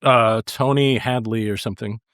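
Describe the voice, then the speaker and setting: A man on a podcast panel talking casually and haltingly about music. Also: medium pitch, fairly even intonation, fairly bright tone, no echo, studio quality. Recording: faint music behind the voice. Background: faint music.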